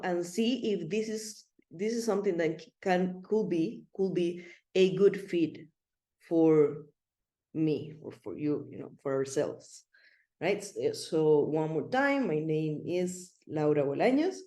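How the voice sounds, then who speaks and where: A woman's voice: lowish voice, lively intonation, measured pace, mellow, roomy sound. A middle-aged woman from Rica, giving an online presentation in a friendly, rambling way with many fillers and restarts. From Costa Rica. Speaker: web3 consultant presenter.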